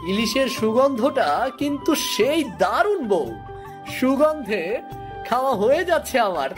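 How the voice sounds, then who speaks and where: Woman as a lively, kind village husband. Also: sits high, unhurried, music in the background. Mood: cheerful, eager.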